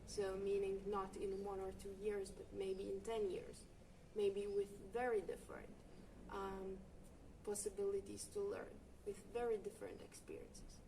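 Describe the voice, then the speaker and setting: A woman's voice: mid-range voice, little pitch movement, moderate pace, mellow. Woman in her 20s, volunteer coordinator, reflective interview, recorded from a distance. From Lithuania. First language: Lithuanian. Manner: fluent, thoughtful, non-native phrasing. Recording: distant mic with noticeable background noise.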